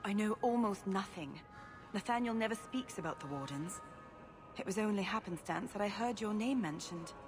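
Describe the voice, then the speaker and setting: A woman's voice: mid-range voice, lively intonation, moderate pace, music in the background, close to an everyday voice. A woman playing an earnest, distraught noblewoman in a dramatic video game scene, pleading for help. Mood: anxious, pleading. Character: distraught noblewoman pleading for her missing brother.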